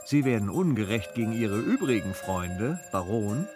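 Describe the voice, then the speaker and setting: A courteous, reserved gentleman with lively inflection. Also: fairly low pitch, music in the background. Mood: courteous, principled. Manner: polite, measured, gently ironic. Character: courteous, modest, reserved gentleman traveller with a secret. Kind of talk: German radio play acting.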